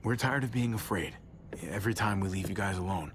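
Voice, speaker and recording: A man playing a steady, caring survivor of a zombie apocalypse in a video game, speaking calmly and sincerely. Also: fairly low pitch, fairly quick, slight background noise, lightly acted. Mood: gently firm. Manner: calm, reassuring, sincere. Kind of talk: naturalistic dramatic video game voice acting.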